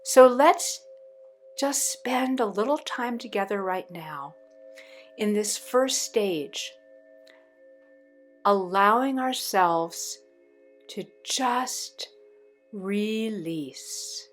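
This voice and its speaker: A woman leading a guided meditation in a soothing, deliberate way with long pauses between phrases. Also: mid-range voice, lively intonation, faint music behind.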